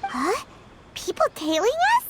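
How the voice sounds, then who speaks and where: A woman voicing a small, childlike, plucky fairy companion in a video game, squeaky, excitable and chatty. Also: a little slow, exaggerated voice. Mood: startled. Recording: loud music behind.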